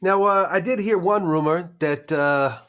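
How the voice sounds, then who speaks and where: A man playing a nervous, hesitant, self-deprecating vampire informant with halting, folksy streetwise talk in a roleplaying game. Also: high-pitched, a bit bright, voice pushed up. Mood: hedging. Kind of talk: improvised in-character roleplay.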